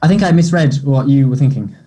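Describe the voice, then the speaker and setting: Anxious young man, husky and soft-toned an actor reading. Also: medium pitch, animated. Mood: nervous. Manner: hesitant, halting delivery. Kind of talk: play table read.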